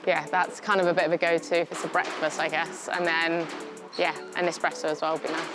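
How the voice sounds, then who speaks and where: Relaxed British woman driver. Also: deep voice, fast talker, low-quality recording. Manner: relaxed, lots of "yeah" and "um". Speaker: racing driver. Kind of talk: casual interview answers. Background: music.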